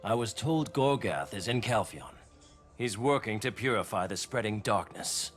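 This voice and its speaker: An actor playing a serious, cautionary adviser in a fantasy video game. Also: male voice, on the low side, lively intonation, normal speed, soft background music, close to an everyday voice. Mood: serious, warning. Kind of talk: game dialogue acting.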